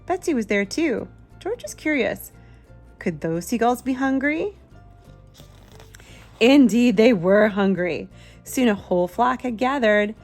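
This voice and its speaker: A woman's voice: mid-range voice, darkish tone. A woman reading a children's picture book aloud expressively, with simple asides to a young listener. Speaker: children's book reader, channel host.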